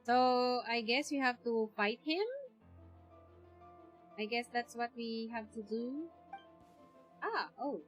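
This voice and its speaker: A woman's voice: fairly high pitch, slow, pauses often. A woman with a Filipino accent giving casual live commentary as she streams a game. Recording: game music behind.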